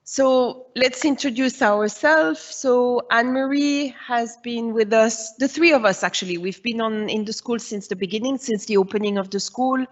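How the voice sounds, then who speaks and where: A woman's voice: fairly high pitch, moderate pace, hardly any pauses, highs cut off. Woman school head addressing parents. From Switzerland. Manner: explanatory, reassuring, with frequent "uh" and "um". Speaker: head of early years at a school. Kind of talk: presentation to parents.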